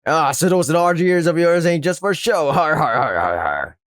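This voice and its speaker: A man's voice: on the high side, expressive, moderate pace, fairly bright tone, clear, resonant tone, no echo, clean studio sound. A man voicing a blustering, menacing barracuda pirate gangster who talks in showy pirate speak with an unsteady accent. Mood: gloating. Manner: pirate talk with jumping accent and har-har laughs.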